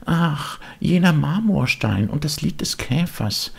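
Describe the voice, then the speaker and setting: Eifrigen, hohen Holzpuppenjungen. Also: male voice, on the high side, animated, slow pace, gravelly, husky, voice pushed up, full character voice. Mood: tearful, earnest. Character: eager, naive little wooden puppet boy. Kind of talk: audiobook character voice.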